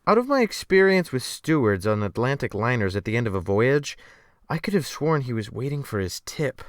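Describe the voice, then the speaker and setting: A man narrating an audiobook as a dramatic reading, with measured, formal and emphatic phrasing. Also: mid-range voice, big pitch swings, no room sound, clean studio sound.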